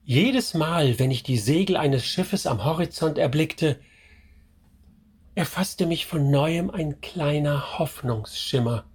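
A devout medieval wanderer telling his tale in a radio play. He speaks slowly and gravely, every sentence weighed. His voice carries a soft husky grain. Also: a little high, lively intonation, exaggerated voice. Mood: solemn. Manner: solemn, measured storytelling. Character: pious medieval man recounting a hard pilgrimage. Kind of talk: radio drama narration.

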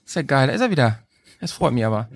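A man hosting a casual motorbike podcast, joking and lively with his guests over a call. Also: mid-range voice, fast, dry recording, studio quality. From Bremen, Germany. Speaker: podcast host. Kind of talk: casual chat.

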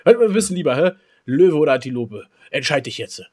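A man's voice: mid-range voice, animated, a little fast, a little mellow, husky. A man co-hosting a podcast in casual, chatty banter, repeating himself for emphasis. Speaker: podcast co-host.

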